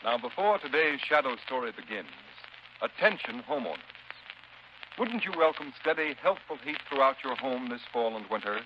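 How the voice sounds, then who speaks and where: A man's voice: mid-range voice, animated, normal speed. A man announcing in 1930s radio style, with formal, emphatic, declamatory delivery. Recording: noisy muffled 1930s radio recording.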